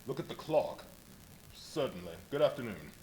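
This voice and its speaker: A man's voice: medium pitch, very animated, normal speed, a little mellow, close to an everyday voice. Man playing a curt, imperious mysterious stranger. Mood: stiffly polite. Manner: clipped, commanding, formal. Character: curt, secretive, imperious gentleman stranger wrapped in bandages. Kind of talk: live radio play acting. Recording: live stage show, background noise.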